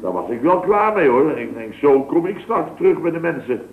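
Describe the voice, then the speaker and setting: A man's voice: a little high, very animated, moderate pace. A man telling a rambling, mock-pompous hunting anecdote with a dry delivery in a scripted radio comedy sketch. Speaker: comic storyteller. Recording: tinny hissy old radio broadcast, roomy.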